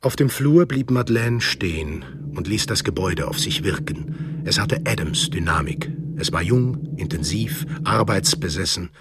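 A man narrating a scripted radio drama in a measured, literary storytelling manner, with a deep, husky voice. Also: expressive, heavy background noise.

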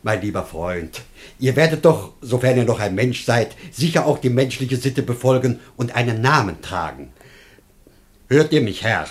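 Pompous Athenian householder, husky voice, wide swooping intonation, excitable, classic radio play. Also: male voice, medium pitch, normal speed. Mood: exasperated, coaxing. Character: pious, poor, self-righteous Athenian master and father.

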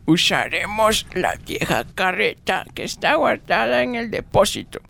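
A man playing a calm, practical, reassuring man with a measured delivery in an amateur radio drama. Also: sits high, creaky, heavily acted.

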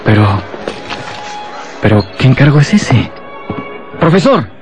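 Uneasy, questioning conspirator. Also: male voice, medium pitch, big pitch swings, takes it slowly, soft background music. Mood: alarmed. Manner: nervous, probing. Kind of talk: Spanish-language radio drama acting.

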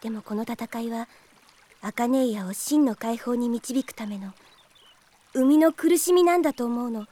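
A woman playing a gentle, polite, earnest young healer in a Japanese radio drama. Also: fairly high pitch, moderate pace, lightly acted. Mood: earnest, pleading. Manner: soft-hearted, polite, earnest. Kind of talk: Japanese anime-style radio drama acting.

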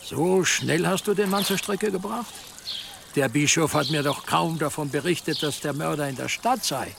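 An actor playing a lordly, musing medieval dignitary with a creaky voice in a German audio drama. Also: male voice, a little high, moderate pace, noisy background. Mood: questioning, musing.

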